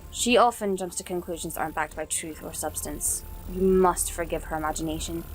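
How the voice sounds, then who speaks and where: A woman playing a kind young forest ranger in a fantasy audio drama, composed, gracious and gently authoritative. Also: on the low side, brisk, soft background music, natural voice, poor audio.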